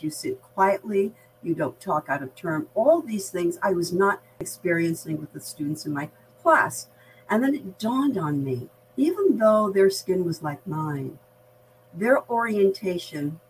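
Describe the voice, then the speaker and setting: Older American-accented woman, slightly low voice. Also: slight background noise, poor audio. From Barbados. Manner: measured, articulate, explanatory. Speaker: veteran diversity practitioner, podcast guest. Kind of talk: reflective conversational interview.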